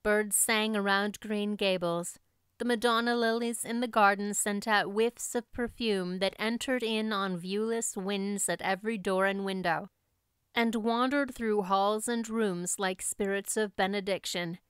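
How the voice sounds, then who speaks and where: A woman's voice: medium pitch, fairly even intonation, measured pace, fairly bright tone, clean tone, dry recording, studio quality. A woman audiobook narrator reading a classic story as scripted narration.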